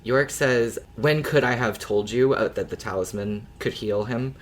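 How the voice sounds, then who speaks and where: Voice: mid-range voice, normal speed. A podcast co-host chatting casually, rambling informally through their thoughts with the odd swear word. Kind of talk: casual podcast chat about an audio drama.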